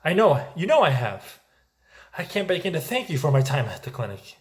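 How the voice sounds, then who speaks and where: An actor playing an eager, grateful sidekick and recovering drinker in a musical theatre table read, excitable and fawning. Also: male voice, on the high side, fairly quick.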